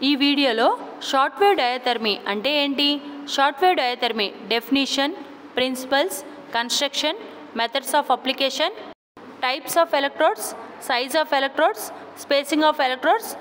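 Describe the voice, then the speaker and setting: A woman with a Telugu accent giving a scripted educational narration as a lecturer, deliberately repeating key terms and carefully enunciating English phrases. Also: high-pitched, fairly bright tone, slight background noise. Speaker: physiotherapy lecturer. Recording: long reverberant echo.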